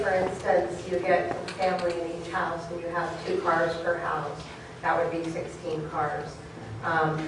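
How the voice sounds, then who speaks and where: A woman on a board raising a concern at a public meeting, informal and halting. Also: on the low side. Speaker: board member asking questions. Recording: echoey hall from far off, clear noise.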